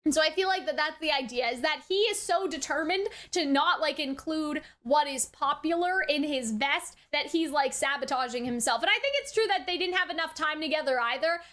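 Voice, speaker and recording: A woman's voice: high voice, a little fast, few pauses, fairly bright tone. A woman giving a casual, rambling review and commentary, thinking aloud with lots of hedges and tag questions. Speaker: reaction and review host.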